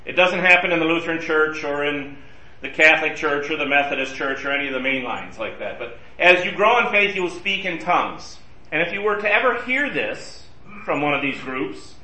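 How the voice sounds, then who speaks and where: A man leads a church Bible study, chatting through the passage and tossing questions to the group. His voice is bright and a little high. The recording is distant and roomy, as if taken from across a classroom. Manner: conversational, asks the group questions.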